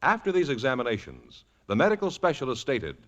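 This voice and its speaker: A man's voice: medium pitch, wildly swooping pitch, a little fast. A man reading a scripted 1950s radio advertisement as announcer, formal and authoritative. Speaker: commercial announcer. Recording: muffled old radio recording.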